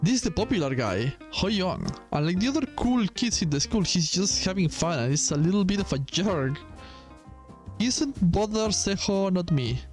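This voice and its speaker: A high-voiced man plays through a game, reading the on-screen text aloud and dropping in casual asides. His delivery is lively and swings up and down, with music playing behind him. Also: moderate pace. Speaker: gaming video host. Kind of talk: game playthrough commentary, reading dialogue aloud.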